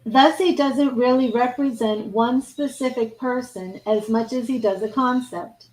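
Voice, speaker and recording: Female tarot reader, hoarse and fading voice, fairly high, mixes read-aloud card text with loose chat. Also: measured pace, darkish tone, rough recording. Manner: reads card meanings aloud, then chats and restarts. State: hoarse, losing her voice. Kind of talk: live tarot reading, partly read aloud.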